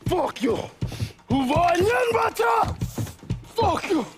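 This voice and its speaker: Very high-pitched, raging fighter. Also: female voice, very animated, very slow, shouting, full character voice. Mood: enraged, scornful. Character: raging, defiant Haitian fighter hurling accusations. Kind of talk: shouted video game acting. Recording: music behind the voice.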